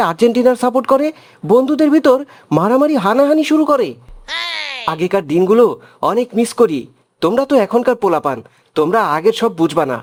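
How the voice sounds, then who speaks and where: A voice actor playing a nostalgic older adult in a cartoon, gently lecturing two boys. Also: medium pitch, lightly acted. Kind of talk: cartoon voice acting.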